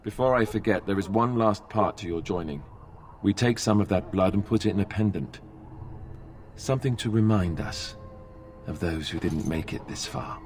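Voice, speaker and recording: Man playing an earnest low-voiced young warrior. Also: moderate pace, a little mellow, a little hiss or hum, natural voice. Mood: subdued, sympathetic. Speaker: game character, junior Grey Warden. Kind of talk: fantasy game voice acting.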